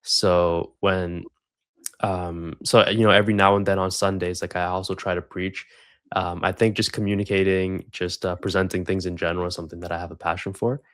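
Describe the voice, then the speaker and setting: A young man who grew up in Sri Lanka tells his story as a podcast guest. His voice sits fairly low, and the sound is dry and close. He rambles loosely, with many fillers and restarts. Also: level tone, brisk, a bit bright, no echo. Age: 20s. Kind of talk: casual interview storytelling.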